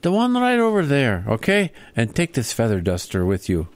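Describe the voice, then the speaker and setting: Man playing an animated bossy leprechaun gang boss. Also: medium pitch, moderate pace, dry recording. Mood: bossy, comic. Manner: bossy, giving orders. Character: bossy leprechaun gang leader, a comic cattle rustler. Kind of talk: improvised comedy radio theater.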